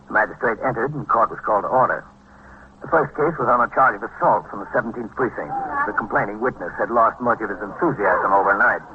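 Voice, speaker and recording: An actor playing a steady, world-weary American police captain who narrates an old radio crime drama in a matter-of-fact, clipped manner. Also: male voice, fast, slight background noise, close to an everyday voice. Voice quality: low, husky voice. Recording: dull, band-limited vintage radio recording.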